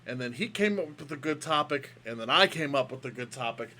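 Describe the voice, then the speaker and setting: A man's voice: mid-range voice, expressive, brisk, sharp tone. A man co-hosting a casual gaming podcast over a remote call, rambling and restarting his sentences. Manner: rambling, restarts often, playful grandiose greeting. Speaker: podcast co-host. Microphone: microphone over a remote call.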